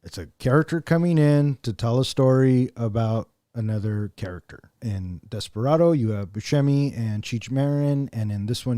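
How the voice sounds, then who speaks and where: A man's voice: medium pitch, warm tone, no room sound, studio quality. A man co-hosting a movie review podcast in casual chat, enthusiastic and joking, with playful swearing. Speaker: podcast co-host.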